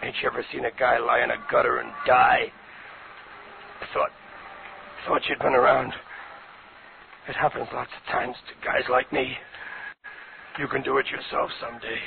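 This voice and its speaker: An actor playing a tough, bossy gangster leader with gravelly voice and curt, slangy talk in a 1950s radio thriller. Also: male voice, mid-range voice, flat delivery, moderate pace, pauses often. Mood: bitter, weary. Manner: curt streetwise commands, slangy. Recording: scratchy tinny 1950s radio recording.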